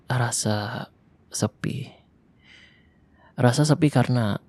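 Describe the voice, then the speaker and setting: A man voicing a lonely young male survivor of a zombie apocalypse in an ASMR roleplay, talking softly and intimately in casual Jakarta slang, close to the microphone. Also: lowish voice, gravelly, dry recording. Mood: tender. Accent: Jakarta colloquial Indonesian.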